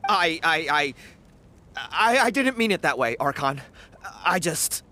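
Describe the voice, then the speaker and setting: A man's voice: on the high side, big pitch swings, a little slow, bright, forceful tone, slight background noise, exaggerated voice. A man acting an accusing, insinuating agitator in a video game, full of theatrical rhetoric. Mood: flustered. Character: sneering agitator in a crowd, young man, accusing and cowardly.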